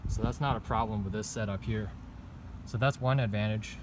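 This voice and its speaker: Male vlogger, lowish pitch, hesitant off-the-cuff explainer, recorded outside amid obvious traffic noise. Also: fairly even intonation, brisk. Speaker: vlogger reviewing own bike setup. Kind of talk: casual unscripted product walkthrough. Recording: noisy outdoor recording with traffic rumble.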